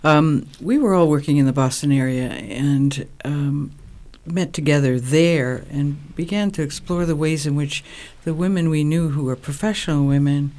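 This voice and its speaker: A woman of sixty-eight explaining her work as an interview guest, in a measured, halting, thoughtful way. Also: low-pitched, a little mellow. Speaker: interview guest, co-founder of a women's program.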